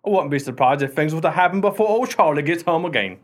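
Man acting cheeky working-class porter, fairly high voice, glib, folksy, teasing, radio play. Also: expressive, fast, natural voice. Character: irreverent, cheeky working-class male building porter, wisecracking.

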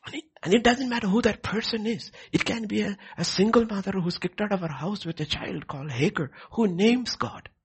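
A man with a husky voice preaching a sermon, emphatic, repeating key phrases and swinging between hushed and loud delivery. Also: high-pitched, fairly quick, few pauses. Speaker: preacher leading a prayer service.

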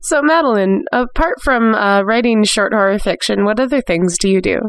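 A woman's voice: medium pitch, moderate pace, no room sound. A woman hosting a podcast interview in a casual, informal, giggly chat style.

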